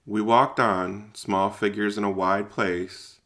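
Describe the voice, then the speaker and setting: Low, gravelly man narrating an audio drama. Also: fairly even intonation, slow pace, fairly bright tone. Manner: hesitant, informal, thinking aloud. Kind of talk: first-person conversational audio drama narration.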